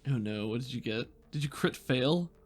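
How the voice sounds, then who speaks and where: A game master improvising narration for a tabletop game in a halting, thoughtful way. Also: medium pitch, lively intonation, soft background music.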